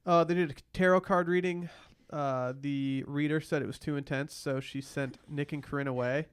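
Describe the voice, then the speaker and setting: Smooth, higher-voiced man chatting casually. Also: steady tone, moderate pace. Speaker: podcast host. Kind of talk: casual chat recap of a reality TV show.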